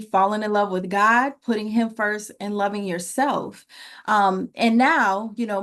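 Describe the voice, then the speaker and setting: A middle-aged woman speaking as an interview guest, earnest and reflective, in a personal motivational style with emphasis on key phrases. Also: medium pitch. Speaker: interview guest, relationship coach.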